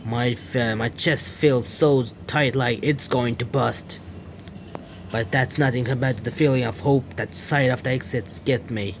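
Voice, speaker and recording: A man hosting a gaming video, reading game text aloud and commenting on it in a jittery, excitable way. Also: mid-range voice, measured pace, creaky, slight background noise. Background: game music.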